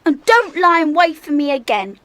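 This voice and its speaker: A sly, nosy schoolgirl pest in a children's audio story. Her voice is high and animated, pushy and taunting in manner. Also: moderate pace, a little hiss or hum. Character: pesky, nosy, sly little schoolgirl sister.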